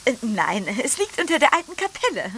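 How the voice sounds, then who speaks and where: A woman's voice: high voice, very animated, a little fast, a bit bright, slight background noise, full character voice. An actress playing a spirited, theatrical lady poet with a secretive streak, speaking well-bred, emphatic audio drama dialogue. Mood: eager, inviting.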